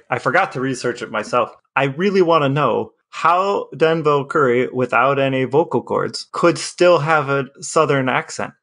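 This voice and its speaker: Midwestern American man, mid-pitched podcast co-host. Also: a bit bright. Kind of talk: casual podcast conversation.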